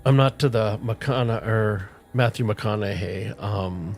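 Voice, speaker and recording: A middle-aged man chatting casually with viewers on a game livestream, relaxed and rambling, with a soothing voice. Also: on the low side, slow, creaky. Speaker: streamer. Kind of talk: casual livestream chat while gaming. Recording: faint music playing underneath.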